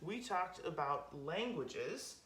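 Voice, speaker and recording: A woman's voice: fairly low pitch, expressive, takes it slowly, noisy background. A woman hosting storytime for young children, explaining things simply and directly as she talks and tells stories. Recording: roomy echo, music behind.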